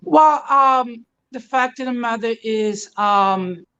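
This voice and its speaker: A man's voice: extremely high pitch, takes it slowly, bright, forceful tone, smooth, muffled recording. A man speaking as a guest on a livestream, hesitant and full of fillers. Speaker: guest on a livestream talk show.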